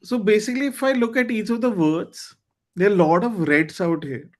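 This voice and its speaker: A man with a high voice hosts a club meeting over a video call. His is Hindi, and he talks in an earnest, candid way, reaching for words with small fillers. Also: brisk. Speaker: meeting host. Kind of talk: online club meeting talk.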